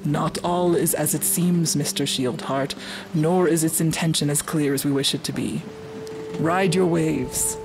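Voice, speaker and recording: A woman playing a wise, philosophical female minotaur warrior in a fantasy audio drama, speaking in a measured way. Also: deep voice, noisy background. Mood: calm, questioning.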